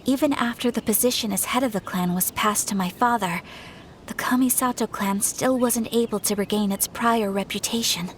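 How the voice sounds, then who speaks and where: A woman's voice: medium pitch, moderate pace, a little hiss or hum, natural voice. A woman playing a refined young noblewoman in a video game, speaking in a polite, composed and formal way. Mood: composed, earnest. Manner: poised, formal, courteous. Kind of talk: video game story dialogue.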